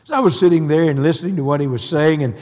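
An older man, preaching a sermon in a folksy, unhurried way with a hoarse, scratchy voice. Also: a little high, darkish tone. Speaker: pastor. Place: church sanctuary. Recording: long reverb of a church hall.

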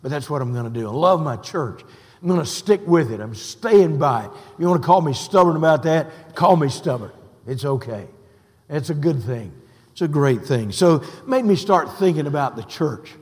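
An older man, preaching a sermon in a folksy, unhurried way with a hoarse, scratchy voice. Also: on the high side, expressive, darkish tone. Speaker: pastor. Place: church sanctuary. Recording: long reverb of a church hall.

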